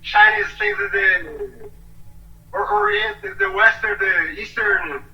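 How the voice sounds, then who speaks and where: A man, speaking halting English and repeating words on a video call. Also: extremely high pitch, very animated, creaky, bright, forceful tone. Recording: dull, echoey distant call audio.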